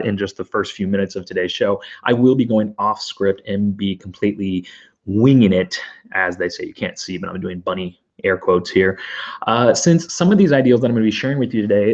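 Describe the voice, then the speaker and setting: A man hosting his own podcast, energetic and rambling with asides, his voice still hoarse as it recovers. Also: lowish voice, muffled recording. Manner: enthusiastic, rambling with fillers and asides. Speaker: podcast host. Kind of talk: energetic solo podcast monologue.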